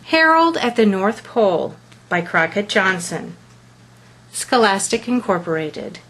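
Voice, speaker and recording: A woman reading a children's picture book aloud as a storyteller, with deliberate, expressive phrasing. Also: mid-range voice, a little hiss or hum, rough recording.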